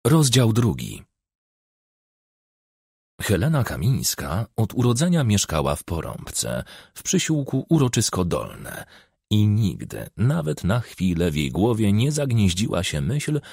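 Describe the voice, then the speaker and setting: A man's voice: lowish voice, wildly swooping pitch, measured pace, vocal fry, darkish tone, breathy edge, no room sound, clean studio sound. A man reading a crime novel aloud as a scripted audiobook narration.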